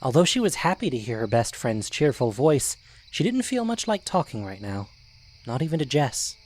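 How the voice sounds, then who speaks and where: A man's voice: mid-range voice, very animated, normal speed. A man narrating an audio drama in a scripted storytelling style. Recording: faint hiss.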